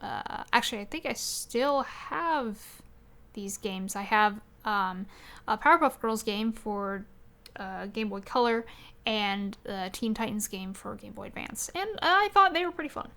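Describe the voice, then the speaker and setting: A woman's voice: mid-range voice, lively intonation, measured pace, bright, forceful tone. A young woman hosting a casual gaming podcast, chatting in a hesitant, giggly way. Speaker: podcast host.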